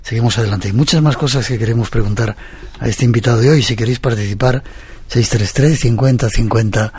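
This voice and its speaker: Very husky male radio host. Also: mid-range voice, a little fast, no room sound. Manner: relaxed, reads listener greetings. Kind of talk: late-night radio interview.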